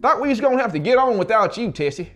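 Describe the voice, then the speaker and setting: Storybook town official, acted by a man: bossy, folksy, raised high voice calling to a crowd, music beneath. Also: expressive, fast talker, a bit bright. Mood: folksy, businesslike. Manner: folksy, plain country talk, calling out. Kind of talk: dramatized audiobook reading.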